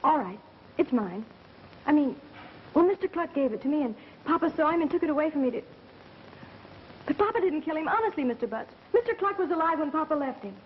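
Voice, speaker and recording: A woman's voice: sits high, animated, fairly quick, pauses often. An actress playing an evasive, anxious young woman, in 1930s film style. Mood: anxious pleading. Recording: dull vintage film soundtrack, faint noise.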